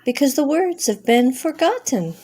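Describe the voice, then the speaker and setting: A woman's voice: high voice, very animated, normal speed, darkish tone, pitched above the performer's own voice. A woman voicing an earnest, sentimental, slightly silly male owl in a children's storybook, with plaintive, simple phrasing. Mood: sad, tearful. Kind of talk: children's storybook character reading.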